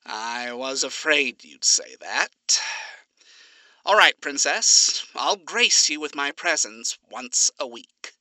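A reader voicing a smug, courtly male radio demon who speaks in formal, gentlemanly phrasing. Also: mid-range voice, animated, slow pace, hardly any pauses, no room sound, heavily acted, low-quality recording. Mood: haggling.

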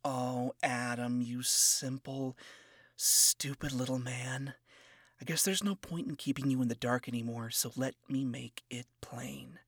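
A man voicing a cold, self-absorbed seductive woman who speaks in a hushed, condescending way. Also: medium pitch, no room sound, studio quality. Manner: hushed, breathy, condescending, slow. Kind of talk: reading fan fiction aloud.

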